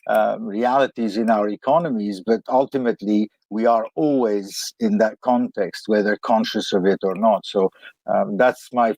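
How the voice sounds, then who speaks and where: A man's voice: lowish voice, darkish tone, no echo. A man from Lebanon, a guest economist, answering interview questions in a reflective, hesitant way. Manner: hesitant and reflective, with many fillers and restarts.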